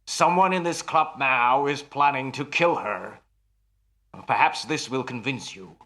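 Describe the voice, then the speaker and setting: An actor playing a self-assured nightclub mind reader in an old-time radio mystery, with a formal, emphatic, measured delivery. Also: male voice, medium pitch, bright, forceful tone. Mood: grave, confident.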